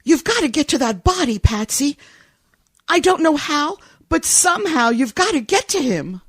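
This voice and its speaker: High female voice, actress as anxious femme fatale, very animated, emotional pleading, old-time radio noir. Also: normal speed, dry recording. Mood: desperate. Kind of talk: 1940s radio drama acting.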